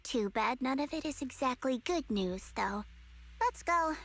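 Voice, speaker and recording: A confident, teasing young investigator from a game. Her high voice swings lively up and down as she needles her companions with knowing remarks. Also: measured pace, heavily acted. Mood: brisk. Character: sly, teasing young woman investigator, self-assured.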